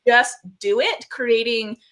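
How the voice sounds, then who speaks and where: A woman's voice: on the high side, slow pace, fairly bright tone. A woman hosting a live video call interview, talking to her viewers in a bubbly, upbeat way. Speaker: host.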